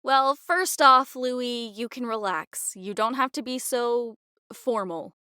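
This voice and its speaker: A woman's voice: a little high, slow pace, bright, forceful tone, clean tone, dry recording, studio quality. A woman playing a headstrong, snappy adventurer in an audio fantasy drama. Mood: softening. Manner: snappy, grudging.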